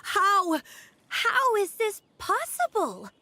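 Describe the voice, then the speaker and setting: A woman playing an earnest, polite young woman with a hesitant, expressive manner in an anime game. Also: very high-pitched, takes it slowly, heavily acted. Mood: amazed.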